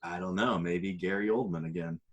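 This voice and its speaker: Low-pitched man chatting, thinking aloud. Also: fairly even intonation, normal speed, muffled recording. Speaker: podcast panelist. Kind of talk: casual podcast chat.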